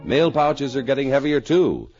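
A man's voice: medium pitch, big pitch swings, normal speed, darkish tone. Dull old radio sound, music behind, male announcer, sweeping intonation, polished sponsor read. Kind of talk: 1950s radio announcing and scripted sponsor narration.

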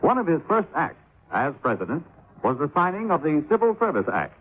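Higher-pitched male radio announcer, very animated. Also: brisk. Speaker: announcer of an educational spot. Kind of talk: scripted 1950s radio announcing, quiz-like. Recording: tinny, faintly noisy 1950s radio recording.

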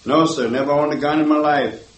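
A man's voice: on the high side, steady tone, fairly quick, muffled recording, a little hiss or hum, natural voice. A man playing a defensive young suspect. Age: 20s. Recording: distant, echoey old radio recording.

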